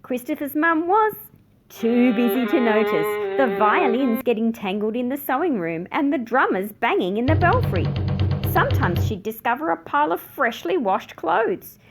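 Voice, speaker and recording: A woman reading a children's picture book aloud with expressive, dramatic emphasis. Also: a little high, moderate pace, over music. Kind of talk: scripted children's story narration.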